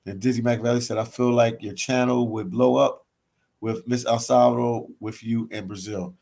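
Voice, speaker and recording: A relaxed man with a Philadelphia accent talks casually to his livestream viewers from a hostel library. He reads out their comments and answers them, often repeating phrases and tacking "man" onto the end of his sentences. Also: medium pitch, normal speed, vocal fry. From Philadelphia, US East Coast. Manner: laid-back, says "man" a lot, repeats phrases. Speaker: travel vlogger reading live chat.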